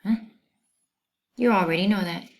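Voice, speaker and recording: A woman storyteller with a medium-pitched voice reads a children's book aloud. She is expressive and breaks off for asides and questions to her listeners. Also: moderate pace.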